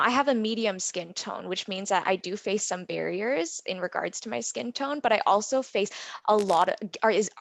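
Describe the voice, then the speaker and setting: A woman's voice: mid-range voice, vocal fry, dry recording. A woman with a Canadian accent leading a workshop, explaining ideas earnestly with the odd "um" and "kind of.". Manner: earnest and explanatory, some fillers. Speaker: workshop facilitator. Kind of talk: presenting a workshop.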